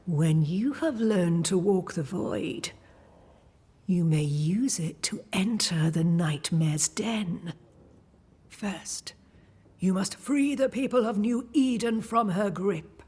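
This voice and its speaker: An actress playing an enigmatic witch leader in a video game, breathy and measured, giving cryptic, ominous guidance. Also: medium pitch, warm tone. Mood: solemn, ominous. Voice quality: breathy, husky. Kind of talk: dramatic video game acting.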